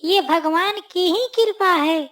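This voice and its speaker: Woman playing a high-voiced village mother. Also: slow pace, a bit bright, full character voice. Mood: fondly chiding. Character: devout village mother, grateful, chiding her son fondly. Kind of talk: cartoon moral story dialogue.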